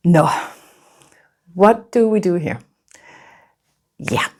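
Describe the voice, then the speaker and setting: A woman's voice: lowish voice, extremely slow, darkish tone. A Danish woman in her fifties with a Danish accent, hosting a knitting vlog in English, nervous and hesitant with many restarts. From Denmark. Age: 50s. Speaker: knitting podcaster. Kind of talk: casual vlog presentation.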